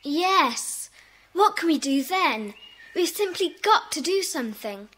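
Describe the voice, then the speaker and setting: A woman's voice: high-pitched, big pitch swings, takes it slowly, sharp tone, full character voice. A woman playing an eager, emphatic schoolchild in a children's audio drama. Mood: determined. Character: eager, indignant, kind schoolchild.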